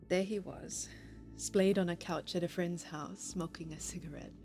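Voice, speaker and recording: A woman playing a grieving, heartbroken lover in a poetic audio-drama monologue, measured and reflective, with breathy pauses. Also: lowish voice, fairly even intonation, a little mellow, faint music behind, natural voice. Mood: tender, wistful.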